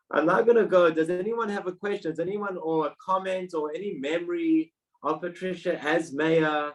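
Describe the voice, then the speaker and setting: Man, host, chatty, encouraging, slightly high voice. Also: moderate pace, poor audio. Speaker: host, interviewer. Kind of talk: friendly interview on a video call. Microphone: video call.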